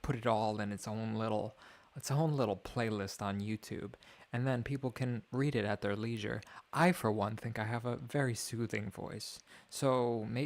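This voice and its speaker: A young man streaming alone, thinking out loud in a wry, mock-grand way. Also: mid-range voice. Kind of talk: rambling livestream monologue.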